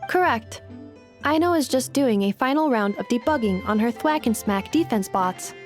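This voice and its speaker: A woman's voice: a little high, lively intonation, normal speed, close to an everyday voice. A woman playing a polite, matter-of-fact young female robot assistant in an anime game, formal and precise. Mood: calm, informative. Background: game music.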